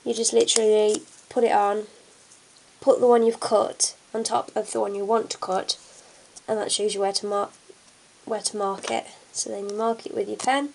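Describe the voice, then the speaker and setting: A woman's voice: medium pitch, level tone, moderate pace, vocal fry, darkish tone, slight background noise, rough recording. A young woman with a cold and a blocked nose, chatting through a hands-on craft tutorial with lots of ums. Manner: chatty, many fillers, talks while working. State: congested from a cold. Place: at a table indoors, hands on camera.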